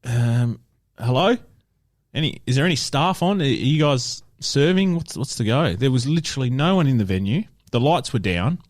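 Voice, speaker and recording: A man's voice: medium pitch, expressive, moderate pace, dry recording, studio quality. A man with an Australian accent telling stories in casual podcast banter, laid-back and prone to chuckling as he goes. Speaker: podcast co-host.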